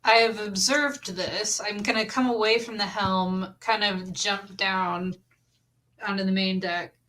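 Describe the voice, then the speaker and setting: A woman talking casually as a player in a tabletop game, deliberately describing what her character does. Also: medium pitch, fairly even intonation, fairly bright tone, poor audio.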